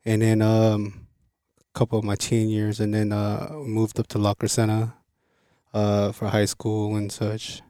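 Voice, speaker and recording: Korea-born la guy being interviewed, lowish mellow voice, chill, repeats himself. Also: measured pace, clean tone, no echo, clean studio sound. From Korea, raised in Los Angeles. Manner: relaxed, repeats words while thinking. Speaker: guest. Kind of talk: casual interview.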